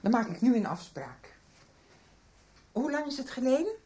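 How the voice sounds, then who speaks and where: A woman playing a worried, brisk middle-aged mother in a radio soap, probing with questions. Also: high-pitched, big pitch swings, warm tone. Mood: shocked, stern. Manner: agitated, questioning. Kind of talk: 1990s radio soap acting.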